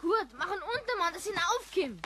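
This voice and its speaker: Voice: very high-pitched, very animated, quick pace, sharp tone, slight background noise, full character voice. A young performer playing a cheeky, defiant boy prankster with a Bavarian accent in a children's audio drama. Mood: bold, goading.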